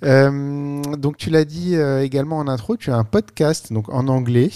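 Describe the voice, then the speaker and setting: A man hosting a podcast and interviewing a guest in a relaxed way with many fillers. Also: medium pitch, moderate pace, smooth, dry recording. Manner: relaxed, filler-heavy questioning. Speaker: podcast host.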